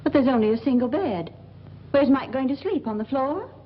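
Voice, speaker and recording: A woman's voice: high-pitched, lively intonation, normal speed. An actress playing a strict, scolding mother in a 1940s film. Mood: exasperated. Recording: muffled old film soundtrack with hiss.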